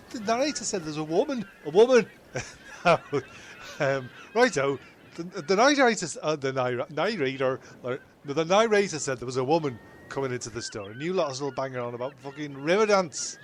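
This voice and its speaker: A man's voice: on the high side, animated, moderate pace, slight background noise, natural voice. A man voicing John Wilson, a blustery radio host who stammers when exasperated.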